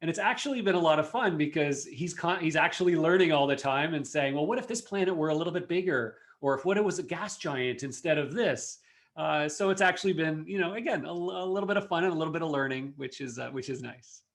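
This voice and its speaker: A man's voice: a little high, fast. A man in his forties with a Canadian accent, a podcast guest who talks casually with many fillers. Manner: friendly, talkative, frequent fillers. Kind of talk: casual interview chat.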